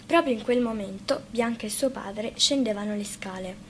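A woman's voice: medium pitch, normal speed, a bit bright. A woman narrating a scripted fairy tale as a storyteller. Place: radio studio. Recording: faint background noise.

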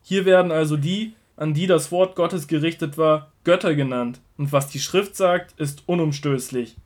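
A calm male teacher and shepherd figure, played by an actor in a biblical audio drama. The voice is a little higher than average for a man. He speaks in measured, earnest declarations with quiet authority. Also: close to an everyday voice. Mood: firm, challenging. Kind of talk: scripted Bible audio drama.

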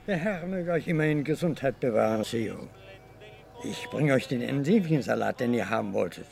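A man's voice: mid-range voice, lively intonation, moderate pace, vocal fry, a little mellow, soft background music. An actor playing a respectful, earthy Sicilian henchman who serves his mafia uncle, in a radio crime drama. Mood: respectful, caring.

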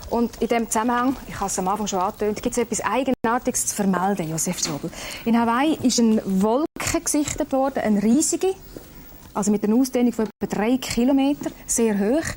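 A woman hosting a live studio show, chatty and lively, joking with a guest. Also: fairly high pitch, a little fast, slight background noise. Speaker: TV show host.

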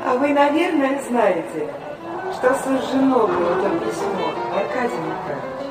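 A woman's voice: on the high side, expressive, moderate pace, natural voice. A woman playing an elegant, knowing figure in a feverish vision in a radio play. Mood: insinuating. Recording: very echoey, distant, loud music.

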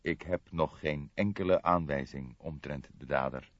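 A man voicing a calm, wry old police detective who speaks with careful deliberation, in an audiobook. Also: very deep voice, a little slow, dry recording, dull audio. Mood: measured, cautious. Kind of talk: audiobook character voice in a detective story.

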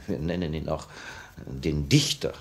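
An actor playing the composed leader of a revolutionary cell in a 1950 radio play, speaking in a measured, commanding way. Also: male voice, extremely low pitch, very animated, full character voice. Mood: calm, assured. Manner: measured, commanding, proclamatory at times.

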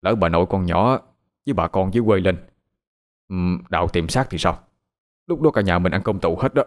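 Stern anguished father, gruff and scolding, by the male narrator. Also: low voice, fairly quick, close to an everyday voice. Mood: low scheming.

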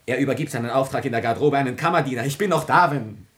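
A man's voice: mid-range voice, rapid-fire, natural voice. An actor playing an anguished, jealous young nobleman in a declamatory classical tragedy for radio, moving between whispers and outcries. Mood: forced calm, feverish.

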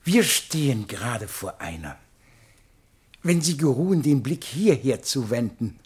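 A self-important man of letters in a radio drama. His husky voice rises and falls dramatically as he delivers flowery, ingratiating phrases. He sounds pompous and eager to flatter his betters. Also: mid-range voice, unhurried, heavily acted. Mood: pompous, obliging. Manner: pompous, ornate, ingratiating oratory. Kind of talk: theatrical radio play acting.

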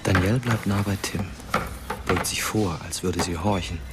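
A man playing a brooding teenage ghost, narrating a radio play in a measured, quiet, intimate way. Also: sits low, very noisy, lightly acted. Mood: watchful.

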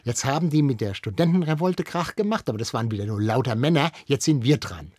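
A man's voice: medium pitch, expressive, quick pace, darkish tone, dry recording, clean studio sound. An older man reminiscing conversationally as a guest in a radio interview, explaining things with emphatic repetitions. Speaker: studio guest, radio veteran and archive expert.

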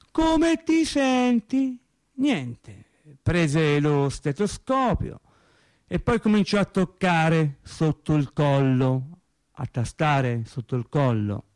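An embittered factory worker with a Tuscan accent in a radio theatre monologue. He takes his time, in a high and very animated voice. He digresses, corrects himself and repeats phrases for emphasis. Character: embittered, rambling factory worker recounting workplace life. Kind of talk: theatrical monologue, colloquial storytelling.

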